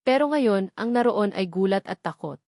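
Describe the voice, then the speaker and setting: A woman's voice: mid-range voice, lively intonation, normal speed, a little mellow, no echo. Filipino woman narrating a dramatic story, dry sound.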